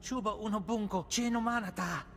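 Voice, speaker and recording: An actor voicing an alien who chatters in an alien tongue. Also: male voice, high-pitched, brisk, fairly bright tone, slight background noise.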